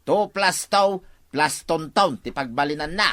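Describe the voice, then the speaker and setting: A man's voice: a little high, unhurried, a bit bright, no room sound, studio quality. An actor playing a fussy, bantering village man in a Filipino radio comedy drama, with an Ilocano accent.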